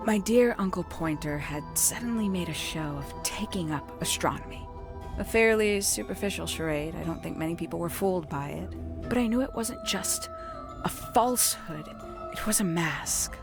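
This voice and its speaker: A woman voicing a composed, calculating young woman in a video game, speaking in a measured, formal, theatrical way as she confesses. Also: lowish voice, lively intonation, music in the background. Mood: cool, calculating. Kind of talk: dramatic scripted confession monologue.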